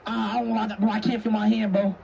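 A man playing a roleplay game on stream, excitable, slangy and profane, acting in character. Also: high voice, quick pace, vocal fry, distant mic, slight background noise.

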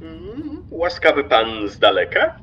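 Railway official played by a man: oily, impertinent, slow and very animated, radio drama. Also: fairly high pitch, full character voice. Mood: sweetly mocking. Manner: unctuous, drawling, mocking courtesy. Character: smug, impertinent railway official, mockingly sweet and talkative. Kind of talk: radio play acting. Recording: slightly dull with noticeable background noise.

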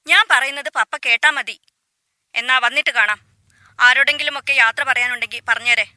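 Woman doing a bossy daughter on a call, high bright sharp voice, dry sound. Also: no room sound. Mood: insistent. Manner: brisk, firm, dismissive. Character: brisk adult daughter living abroad, impatient. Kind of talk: radio drama acting.

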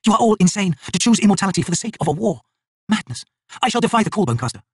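A defiant, bitter shaman woman of dark fantasy, performed by a male narrator. The voice is raised high and slightly husky, speaking with proud, emphatic intensity. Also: very animated, rapid-fire, no room sound, studio quality, heavily acted. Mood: bitter defiance.

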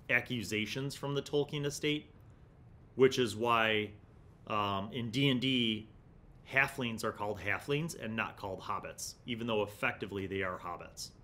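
A man's voice: on the low side, measured pace, pushed and bright. A middle-aged man hosting a review series, reading aloud and commenting in a casual, musing, conversational way. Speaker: host of a gaming magazine review series. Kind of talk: casual commentary with passages read aloud from an article. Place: small indoor studio set with shelves. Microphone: condenser mic on the desk in front of him.

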